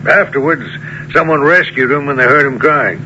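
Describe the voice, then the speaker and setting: An actor playing a folksy, affable police officer with a gravelly voice, easygoing, in a radio-drama style. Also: male voice, fairly high pitch, normal speed, sharp tone. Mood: storytelling. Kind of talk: 1970s radio drama acting. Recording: muffled old radio recording, clear background noise.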